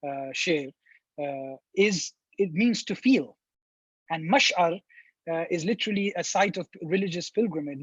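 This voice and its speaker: A man's voice: sits high, slightly rough, no echo, dull audio. A man from India, a professor answering seminar questions thoughtfully, with frequent restarts and fillers. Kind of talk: academic seminar talk.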